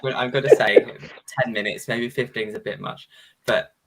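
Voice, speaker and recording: A man's voice: mid-range voice, steady tone, normal speed, over a noisy crowd, poor audio. A man co-hosting a casual prediction show, thinking aloud in a hesitant way. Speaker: show co-host. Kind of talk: casual chat about match predictions.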